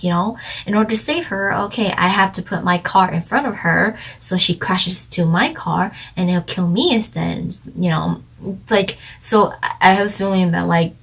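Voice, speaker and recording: A woman's voice: lowish voice, rough recording. A woman chatting casually as she reviews a drama, rambling and speculating with lots of fillers. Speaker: drama reviewer, channel co-host.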